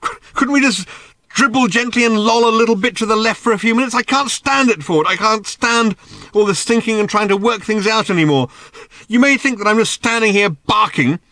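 A man's voice: mid-range voice, very animated, fairly quick, no room sound, voice pushed up. A man reading a comic science fiction audiobook, voicing a world-weary, exasperated man who rants in sarcastic, flustered bursts. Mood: despairing rant. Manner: bitingly sarcastic, hesitant, ranting in long flustered bursts.

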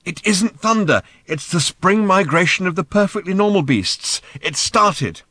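A man's voice: high voice, lively intonation, measured pace, pushed and bright, dry recording, pitched above the performer's own voice, exaggerated voice. A man voicing an earnest, matter-of-fact male character in an audiobook, explaining things insistently with a raised voice. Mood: exasperated. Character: earnest, matter-of-fact man raising his voice over a din.

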